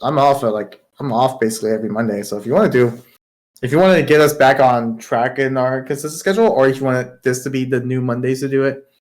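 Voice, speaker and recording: Airy-voiced young man, slight Southern accent. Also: medium pitch. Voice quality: light, airy voice. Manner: easygoing, quick to laugh. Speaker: podcast co-host. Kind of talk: casual chat.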